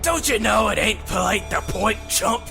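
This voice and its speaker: A cocky, slangy brawler performed by a man in a comic-book audio drama. He taunts in a high, creaky voice, brash and eager for action, with music behind. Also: expressive, normal speed. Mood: taunting. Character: cocky, brash male street fighter spoiling for action.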